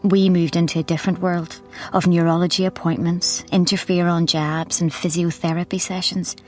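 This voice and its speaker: An Irish actress playing a concerned, insistent newspaper colleague in a radio drama. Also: female voice, fairly low pitch, flat delivery, moderate pace, a little mellow, soft background music, close to an everyday voice. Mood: reflective narration. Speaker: actor in a radio play. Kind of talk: naturalistic radio drama acting.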